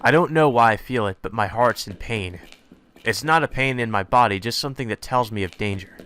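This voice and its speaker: A man's voice: mid-range voice, brisk, a bit bright, clear, resonant tone, natural voice. A man voicing an earnest young male hero, anxious and determined, narrating his own story in a visual novel.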